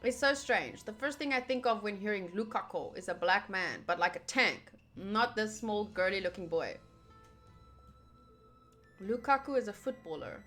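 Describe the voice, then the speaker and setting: A woman's voice: mid-range voice, expressive, moderate pace, fairly bright tone. A young woman, reading a visual novel aloud and commenting casually and playfully as she streams. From United States. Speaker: game streamer. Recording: voice over game music. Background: game music.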